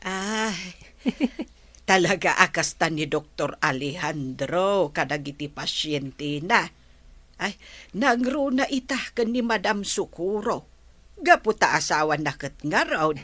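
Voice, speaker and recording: A woman's voice: fairly low pitch, big pitch swings, slow, a bit bright, no echo, heavily acted. A woman playing an affectionate old grandmother in an Ilocano radio drama, with an Ilocano accent. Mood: teasing, fond. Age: older. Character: affectionate old grandmother with a secret, narrating and chatting.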